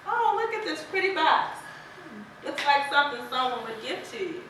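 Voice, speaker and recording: A woman's voice: high voice, big pitch swings, moderate pace, pushed and bright, heavily acted. A woman playing a plain-spoken, regretful farm wife in a rural period stage play, with a folksy, emphatic delivery. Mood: alarmed. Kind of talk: stage play acting, early 1900s rural American drama. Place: stage performance recorded from audience distance. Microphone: distant camera. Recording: echoey hall from far off, noisy.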